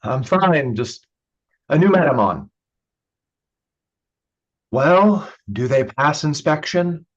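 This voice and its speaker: A man's voice: on the high side, animated, takes it slowly, pauses often. A man acts out an evasive young man in a stage play, a joking brother covering for a secret drinking habit. The delivery is naturalistic and conversational, and the sound is dull and lo-fi, as if over a video call. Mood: subdued, guarded. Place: remote online staged reading.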